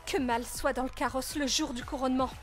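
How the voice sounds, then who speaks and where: A woman's voice: high-pitched, fairly even intonation, fairly quick, soft background music, natural voice. A woman voicing a spoiled, jealous teenage princess with a snooty, dramatic manner in a fan-dub narration. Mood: indignant.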